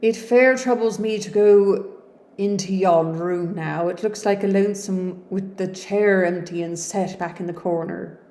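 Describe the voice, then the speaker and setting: A woman's voice: mid-range voice, mellow, reverberant, close to an everyday voice. A woman doing a broad-spoken old Yorkshire servant woman. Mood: gentle. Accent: Yorkshire, put on. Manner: broad dialect, drawn-out and emphatic.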